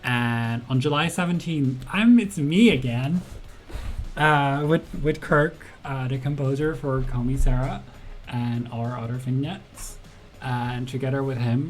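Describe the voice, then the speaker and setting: Chatty streamer with light laughs. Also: male voice, medium pitch, slight background noise. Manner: friendly, chatty, many ums and uhs, laughs often. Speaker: game jam stream host. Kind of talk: casual livestream chat answering viewer chat.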